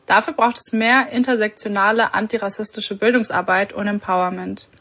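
Earnest husky woman reflecting. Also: medium pitch, steady tone, fairly quick, bright, forceful tone. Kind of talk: personal statement.

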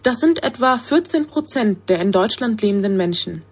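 A woman reading a scripted explainer in a measured, informative tone. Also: mid-range voice, lively intonation, husky.

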